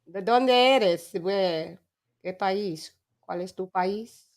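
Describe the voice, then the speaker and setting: A woman's voice: mid-range voice, slow. A woman with an English accent hosting a casual live chat, laughing often and switching between English and Spanish. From England. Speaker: livestream host.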